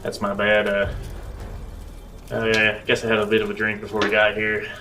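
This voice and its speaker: A man's voice: lowish voice, completely flat delivery, normal speed, bright, forceful tone, faint music behind, rough recording. A man chatting casually as a player in a tabletop role-playing game.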